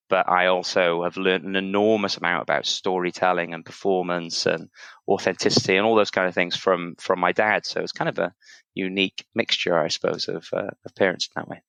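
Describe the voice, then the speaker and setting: A man's voice: low-pitched, pushed and bright, smooth, no room sound, rough recording. A man in his thirties from Wales with a Welsh accent, a podcast guest talking thoughtfully about his upbringing and career, hedging often with "kind of" and "I suppose". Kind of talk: reflective conversational interview.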